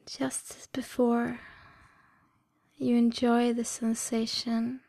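A woman in a scripted hypnosis ASMR style, mostly whispering breathily in a hushed, deliberate, soothing yet commanding manner. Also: fairly high pitch, completely flat delivery, creaky, no echo. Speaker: erotic hypnosis audio performer.

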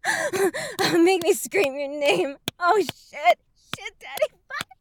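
A woman's voice: very high-pitched, very animated, takes it slowly, background noise, full character voice. A woman playing an overwrought, conflicted adult bride in an explicit audio roleplay, with breathless, moaning delivery. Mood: breathless, aroused.

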